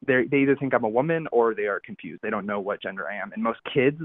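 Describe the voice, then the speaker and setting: A caller with a masculine-sounding voice, speaking haltingly with frequent restarts while confiding on a phone-in. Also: mid-range voice, no echo. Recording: tinny phone line.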